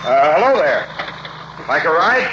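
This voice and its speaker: A man's voice: a little high, big pitch swings, a little slow. An actor playing a haunted, increasingly frantic driver in 1940s radio suspense, earnest and intense in his dramatic delivery. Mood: uneasy, probing. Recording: noisy, dull 1940s radio recording.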